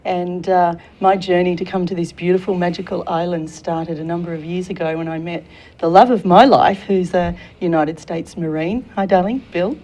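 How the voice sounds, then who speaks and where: Australian woman, mellow low voice. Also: steady tone, moderate pace. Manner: warm, enthusiastic, laughs often. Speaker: guest, career consultant. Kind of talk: studio interview. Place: TV studio.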